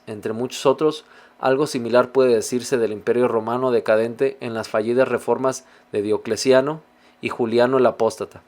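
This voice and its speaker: A man hosting a reaction video, reading passages aloud and commenting on them in a measured, reflective way. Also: medium pitch.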